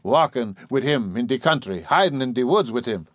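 A man reading an audiobook, voicing a gruff, bullying old farmer who whines and mangles his consonants in a rough rural dialect. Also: medium pitch, lively intonation, moderate pace, no room sound, clean studio sound, lightly acted. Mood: accusing.

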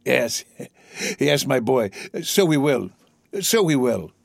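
A man voicing a gentle, humble old father, speaking haltingly as in a dramatised classic audiobook. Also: mid-range voice, very animated, vocal fry, dry recording, studio quality, full character voice. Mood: joyful, tender. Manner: gentle, halting, self-effacing. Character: frail, tender old father, poor and humble.

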